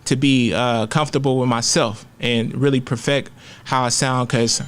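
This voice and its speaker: A man's voice: medium pitch, steady tone, normal speed, clear, resonant tone, faint music behind. Young bay guy, rapper being interviewed, loose and candid, swears a lot. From Oakland, California. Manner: candid, profane, slangy storytelling. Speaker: rapper guest. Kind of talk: casual interview chat.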